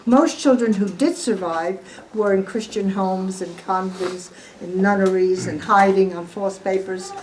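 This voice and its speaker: A woman's voice: medium pitch, fairly even intonation, measured pace, few pauses, darkish tone, a little hiss or hum, poor audio. An American woman in her eighties giving informal, emphatic spoken testimony to guests at home, often putting questions to her listeners. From born in Poland, raised in the United States. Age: 80s. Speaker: Holocaust survivor giving testimony. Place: at home, room with gathered guests.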